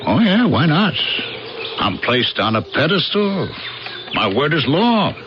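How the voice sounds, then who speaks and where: Plain-spoken American castaway, animated. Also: male voice, a little high, unhurried, background noise. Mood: wry, resigned. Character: disillusioned castaway worker mistaken for a god, gruff everyman. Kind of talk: 1980s radio drama acting. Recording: dull 1980s radio broadcast, loud music behind.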